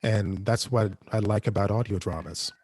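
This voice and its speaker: A man speaking reflectively as a writer on a discussion panel, haltingly repeating phrases as he thinks. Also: lowish voice, a little mellow, dry recording, clean studio sound. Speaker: panelist, audio drama writer.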